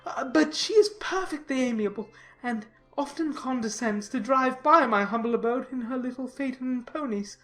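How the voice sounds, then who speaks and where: A woman's voice: high voice, normal speed, a bit bright, voice pushed up. A woman voicing a pompous, self-important and obsequious clergyman in a dramatized classic novel, speaking in wordy, formal sentences. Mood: fawning, self-satisfied.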